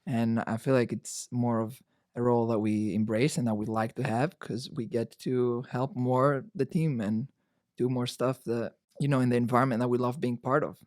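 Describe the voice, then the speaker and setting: A man's voice: mid-range voice, normal speed, dry recording, studio quality. A young man from Greece, a college sailing captain, answering interview questions casually with lots of "you know" and "like". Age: young adult. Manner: relaxed, uses many fillers like "you know" and "like".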